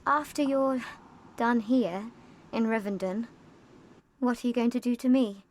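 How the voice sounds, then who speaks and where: A woman's voice: on the high side, unhurried, darkish tone, a little hiss or hum, lightly acted. An actress playing a young orphan girl in a video game, earnest, plaintive and stubbornly moralizing. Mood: earnest, curious.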